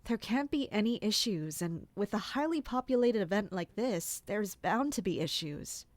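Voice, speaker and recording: A woman's voice: medium pitch, lively intonation, moderate pace, no echo, lightly acted. A woman playing a vigilant, anxious castle guardian in an audio drama, both narrating and speaking in scenes. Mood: uneasy. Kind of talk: first-person audio drama.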